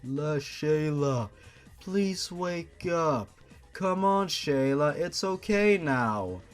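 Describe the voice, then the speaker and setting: A man voicing a tender young man who comforts his girlfriend, speaking softly and slowly. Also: fairly high pitch, expressive, faint music behind. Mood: gentle. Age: young adult. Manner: soft, slow and hushed.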